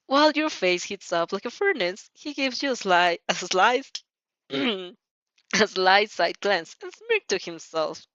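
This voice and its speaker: Giggly girl narrator reading fanfic, woman, super animated, trips over words, dry kinda muffled mic. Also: medium pitch, measured pace, no room sound. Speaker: fan fiction narrator. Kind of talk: expressive story reading with spontaneous reactions.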